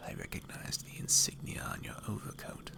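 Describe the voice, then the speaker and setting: A man voicing a gloomy, menacing traveler who talks in a terse, hushed, gravelly way in a fantasy audio roleplay. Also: brisk, whispered, lightly acted. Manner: terse, threatening.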